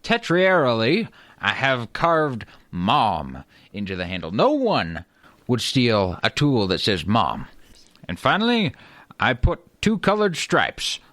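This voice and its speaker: A man acts out a pompous, fussy Old West tool collector for a comedy radio play. His mid-pitched voice swings widely as he boasts in grand, over-precise phrases. The sound is close and dry. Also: huge pitch swings, takes it slowly, fairly bright tone, no echo, heavily acted. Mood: smug, self-important.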